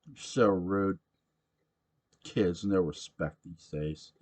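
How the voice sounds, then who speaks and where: Drunk, pushy middle-aged bar regular, a man reading the part, loud and gruff in a storybook reading. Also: low voice, slow pace. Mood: belligerent.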